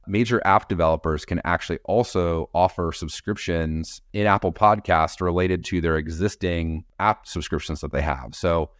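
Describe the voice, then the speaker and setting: Very deep-voiced man, measured business talk. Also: a bit bright, dry recording, studio quality. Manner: measured, businesslike, with frequent "you know" fillers. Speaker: podcast company executive, guest. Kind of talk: interview answers.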